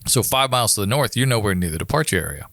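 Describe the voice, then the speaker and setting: A man with an American accent and a fairly low voice chats in an informal, explanatory way. The sound is close and dry, with very little room around him, as on a podcast. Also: lively intonation, rapid-fire, a bit bright, no echo. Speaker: air traffic controller, podcast guest. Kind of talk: casual chat, explaining.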